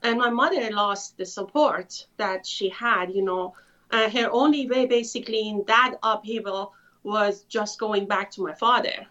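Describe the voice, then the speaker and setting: A woman from Iran, an author telling her life story in an interview, thoughtful with frequent pauses. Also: medium pitch, fairly bright tone. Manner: thoughtful, many filler pauses. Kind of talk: conversational storytelling. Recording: muffled lo-fi audio.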